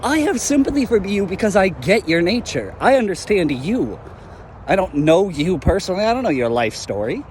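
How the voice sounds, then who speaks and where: Earnest young former hero, voiced by a man, halting, fairly high, noisy outdoor recording with traffic. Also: sing-song, darkish tone. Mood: reproachful. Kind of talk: audio drama acting.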